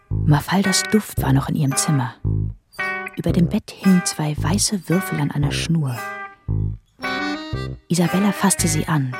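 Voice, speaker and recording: A narrator with a gravelly voice reading scripted crime drama narration. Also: medium pitch.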